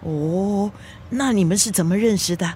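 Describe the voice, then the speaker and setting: An actress in a radio drama playing a nosy, excitable middle-aged mother with a Singaporean accent, probing and exclamatory. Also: mid-range voice, moderate pace, slight background noise, close to an everyday voice. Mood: eager, welcoming.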